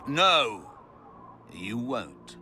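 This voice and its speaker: An actor playing a smug, pompous villain mage in a video game, speaking in a mocking, theatrical manner. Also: male voice, mid-range voice, very animated, drawn-out words, loud music behind the voice. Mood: menacing.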